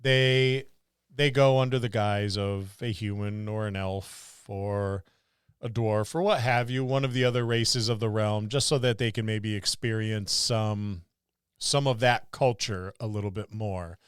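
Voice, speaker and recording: A man's voice: lowish voice, steady tone, unhurried, smooth, dry recording, studio quality. A man co-hosting a podcast, musing aloud in a casual discussion about tabletop gaming. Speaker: podcast co-host.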